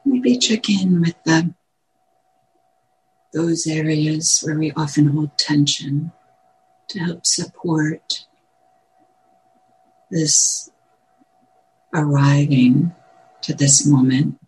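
A woman meditation teacher guiding a meditation in gentle, measured short phrases with long pauses. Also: low-pitched, low-quality recording. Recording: clear background noise, room ambience.

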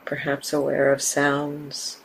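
A woman teaching a guided meditation in a gentle, unhurried manner, leaving long pauses between short phrases. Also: low-pitched, vocal fry, poor audio. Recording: steady background hiss and room ambience.

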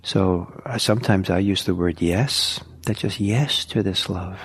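A man teaching meditation in a soft, slow, halting way, with many pauses. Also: sits low, slightly rough. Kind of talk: guided meditation talk.

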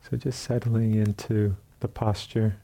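A very dark, mellow man's voice with a husky edge guides a meditation. He speaks as a teacher, in gentle phrases separated by long pauses. The delivery is slow and unhurried. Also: fairly low pitch, little pitch movement. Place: retreat center meditation hall.

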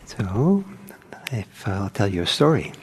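An older man who speaks softly, giving a gentle, unhurried meditation talk and answering questions. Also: fairly low pitch, sing-song, a little hiss or hum. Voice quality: soft-spoken. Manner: gentle, unhurried, with many restarts. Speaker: meditation teacher. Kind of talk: dharma talk with Q&A. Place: meditation hall.

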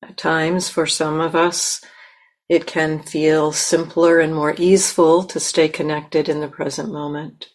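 A woman meditation teacher leading a guided meditation in a gentle, unhurried manner with long pauses. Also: low voice, little pitch movement.

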